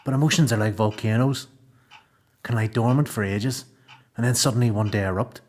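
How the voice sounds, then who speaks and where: A man's voice: fairly low pitch, a little fast, a little mellow, lightly acted. A man playing a sombre, world-weary prisoner in a radio play. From Belfast, Northern Ireland.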